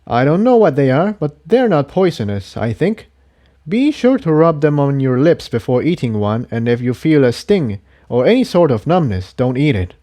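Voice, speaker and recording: A man's voice: mid-range voice, sing-song, moderate pace, mellow, pitched above the performer's own voice, close to an everyday voice. A man voicing an earnest, cautious male pony in a story reading, explaining himself hesitantly.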